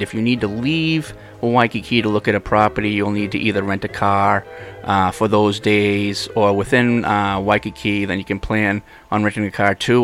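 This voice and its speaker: A man's voice: fairly low pitch, a bit bright. Chatty man hosting a podcast. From Boston. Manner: chatty, rambling, acts out quoted people. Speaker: podcast host. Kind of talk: casual podcast chat.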